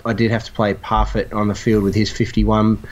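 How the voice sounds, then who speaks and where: A man's voice: on the low side, level tone, brisk, a little mellow, highs cut off. A man, a podcast guest, talking in a friendly, matter-of-fact way. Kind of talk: casual podcast chat.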